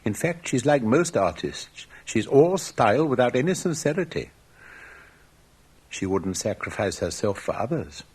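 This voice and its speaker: A man's voice: medium pitch, sing-song, measured pace, darkish tone, breathy edge. A man playing a smug, bookish young student in a storybook, musing aloud in a pompous, dismissive way.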